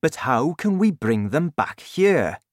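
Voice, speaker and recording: A man's voice: a little high, big pitch swings, slow pace, dry recording, studio quality, pitched above the performer's own voice. A man voicing an urgent, questioning army chief in a storybook reading. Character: worried military man, head of the army.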